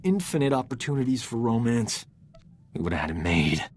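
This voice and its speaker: An actor playing a cocky, flirtatious medic in a video game, smooth-talking and boastful, with a theatrical way of talking. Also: male voice, medium pitch, sing-song, a little fast, a little hiss or hum, lightly acted. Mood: exasperated, pleading. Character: cocky, flirtatious male medic, self-promoting charming rogue. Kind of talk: video game character dialogue.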